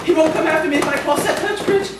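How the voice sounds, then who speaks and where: Terrified schoolteacher for radio drama, played by a man: high, breathless, panicky, heard from far off in a noisy space. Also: fast talker. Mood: frantic panic. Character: terrified schoolteacher fleeing on horseback, frantic and nervous. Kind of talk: live radio-play acting. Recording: very echoey, distant, noisy live stage recording.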